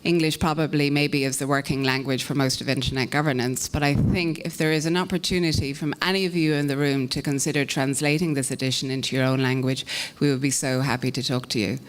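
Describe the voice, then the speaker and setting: A woman's voice: rumbling low, steady tone, quick pace, few pauses. An Irish woman moderating a conference panel, introducing speakers in a friendly, self-deprecating way. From Ireland. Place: conference meeting room.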